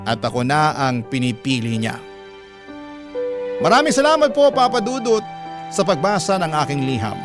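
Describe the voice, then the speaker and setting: A man reading a letter aloud as a radio storyteller, in sentimental, measured, dramatic narration. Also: fairly high pitch, wildly swooping pitch. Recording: loud background music. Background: music bed.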